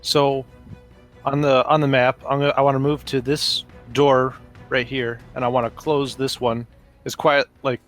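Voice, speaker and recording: A man's voice: medium pitch, level tone, normal speed. A man playing a tabletop roleplaying game, describing his moves in casual conversation. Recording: music under the voice.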